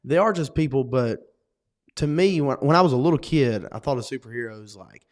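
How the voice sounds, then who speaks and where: A man's voice: medium pitch, animated, fairly quick. A young man from North Carolina with a Western North Carolina accent, co-hosting a casual podcast chat and talking earnestly in long rambling runs. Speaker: co-host.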